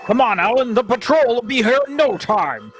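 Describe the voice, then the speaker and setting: A man's voice: extremely high pitch, very animated, normal speed, soft background music. A man playing a defiant, impetuous young rebel in a sci-fi radio play, bold and scornful in manner. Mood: urgent.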